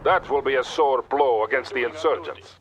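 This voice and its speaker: A man's voice: mid-range voice, close to an everyday voice. Man playing a stern Soviet colonel amid gunfire. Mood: authoritative. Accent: Russian. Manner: authoritative, measured, commanding. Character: stern, composed Soviet army colonel commanding by radio. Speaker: military commander character. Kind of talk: video game voice acting, military briefing. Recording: battle gunfire noise behind voice. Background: gunfire, artillery and vehicles.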